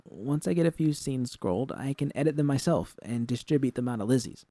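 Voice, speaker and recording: A man voicing an excitable, earnest young woman technician in an audiobook story, with urgent, halting, slangy speech. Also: medium pitch, no room sound, pitched above the performer's own voice. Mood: anxious, hopeful.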